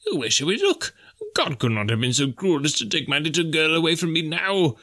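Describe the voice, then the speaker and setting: A man's voice: fairly high pitch, very animated, fairly quick, pushed and bright, voice pushed up, exaggerated voice. Man acting a grief-stricken old professor, raised voice. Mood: anguished. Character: grief-stricken elderly male professor, resolute and worried.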